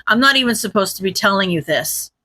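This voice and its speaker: A woman's voice: medium pitch, lively intonation, quick pace, sharp tone, dry recording. A woman voicing a tired, serious male hero on an urgent phone call in an audiobook-style story, speaking tersely. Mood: stressed, stern.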